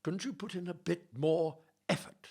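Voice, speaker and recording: A man's voice: high-pitched, normal speed, gravelly, darkish tone, breathy edge, full character voice. A man playing a vain, haughty Elizabethan playwright in a comic audio play, pompous, condescending and easily offended.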